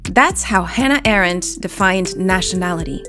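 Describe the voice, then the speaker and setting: A woman journalist hosting a documentary podcast, in measured and reflective scripted narration that poses questions to the listener. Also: lowish voice, lively intonation. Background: music bed.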